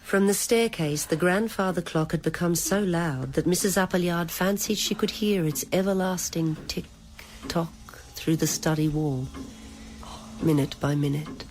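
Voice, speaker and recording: A woman narrating a literary story in a measured, deliberate, dramatic style. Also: lowish voice, darkish tone, low-quality recording.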